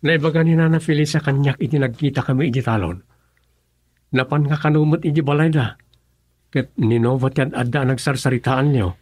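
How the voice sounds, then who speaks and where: A man's voice: mid-range voice, a little fast, a little mellow, breathy edge, close to an everyday voice. A man acting an earnest, lovelorn young villager in a radio drama, with an Ilocano Filipino accent.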